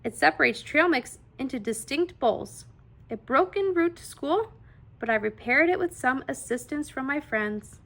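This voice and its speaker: A woman's voice: sits high, lively intonation, moderate pace, creaky, fairly bright tone, full character voice. A woman voicing an eager, earnest little girl inventor in a children's storybook. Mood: earnest, proud.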